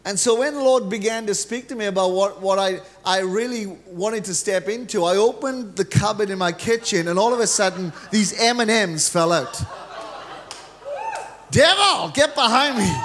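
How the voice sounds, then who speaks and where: A middle-aged Welsh man preaching a lively, joking sermon from a large church stage into a handheld mic. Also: high voice. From Wales. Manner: energetic, joking preacher, gestures freely while pacing the stage. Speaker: guest preacher.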